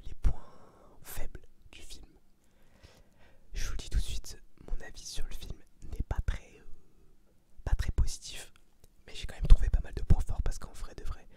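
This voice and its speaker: Whispering young man, casual ASMR. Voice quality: breathy whisper. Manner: informal, slangy, hesitant searching for words. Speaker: ASMR video creator. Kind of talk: ASMR, casual film review.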